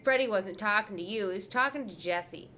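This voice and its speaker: A woman's voice: mid-range voice, brisk, bright, forceful tone, slight background noise, natural voice. A woman voicing a blunt, teasing young schoolboy.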